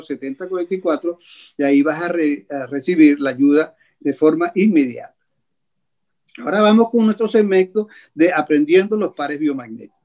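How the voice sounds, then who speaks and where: An older man hosting a health show over a video call from a small room at home, speaking in a measured way and listing things slowly with pauses. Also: high voice, a little mellow. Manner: measured, lists items slowly with many pauses. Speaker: host. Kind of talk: radio-style health show presenting. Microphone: video call webcam.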